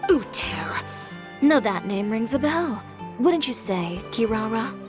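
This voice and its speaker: A woman's voice: on the high side, sing-song, slow pace, music in the background. A woman voicing a cool, self-assured young fashion designer in a video game, with a dry, direct and stern manner. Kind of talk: English video game voice acting.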